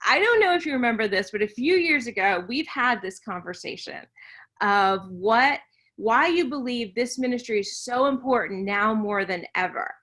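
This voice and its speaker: A woman's voice: a little high, animated, normal speed, a bit bright. A woman hosting a podcast interview, teasing her guest in a playful, casual way. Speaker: podcast host.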